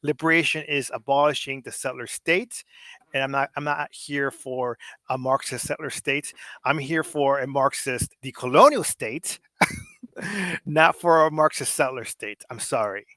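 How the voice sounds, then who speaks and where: A man's voice: mid-range voice, a little slow, sharp tone, no echo. Blunt, cussing man with a Mexican American accent. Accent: Mexican American, Los Angeles. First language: Spanish. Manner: blunt, profane, heated, with many restarts. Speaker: podcast guest. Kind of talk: casual political discussion.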